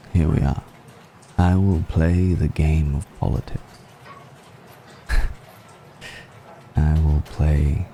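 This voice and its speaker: A man playing a suave, ancient aristocratic vampire in an intimate ASMR roleplay, musing slowly and deliberately with long pauses. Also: warm tone, a little hiss or hum, heavily acted. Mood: intrigued, savoring. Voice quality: very deep, gravelly.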